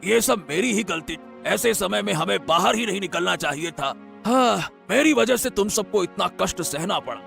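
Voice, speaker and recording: A man's voice: sits high, animated, normal speed, gravelly, fairly bright tone, soft background music, full character voice. A man voicing a weary, apologetic royal relative with a subdued manner in a moral-story cartoon. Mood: remorseful. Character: remorseful, weary royal relative in a fairy tale. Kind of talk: animated Hindi moral-story dialogue.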